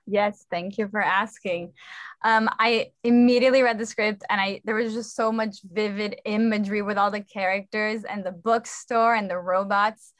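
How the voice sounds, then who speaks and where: A woman's voice: mid-range voice, steady tone, moderate pace, a bit bright, no echo. A woman in her twenties with a mid-range voice speaks as a director in a casual discussion. She is on a video call, and the sound is close and dry. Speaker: play director. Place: indoor room on a video call. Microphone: computer video call.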